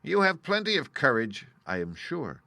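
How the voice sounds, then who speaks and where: Guy doing a sheepish old fake wizard, bright and crisp, super dry sound. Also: a little high, wildly swooping pitch, normal speed, no echo, voice pushed up, heavily acted. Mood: confiding. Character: humbug wizard, older man, meek and apologetic.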